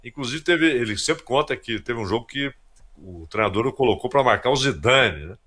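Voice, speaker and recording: Man, Brazilian accent, sports commentator, casual storytelling, halting chatty phrasing, lively intonation, medium pitch. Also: husky.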